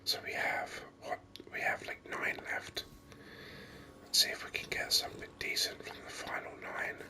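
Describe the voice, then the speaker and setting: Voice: normal speed, pushed and bright. A gaming host whispering ASMR commentary very close to the microphone in a rambling, hesitant way. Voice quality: breathy unvoiced whisper. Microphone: microphone very close to the mouth. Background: voice-over on game screen capture.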